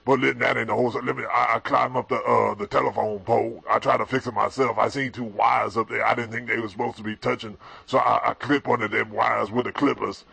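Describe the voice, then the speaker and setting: A man on a comic prank call, rambling and complaining over and over, sometimes shouting at someone in the room. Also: medium pitch, level tone, a little fast, vocal fry, few pauses, breathy edge, dry recording. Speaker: prank caller. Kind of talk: comic phone rant.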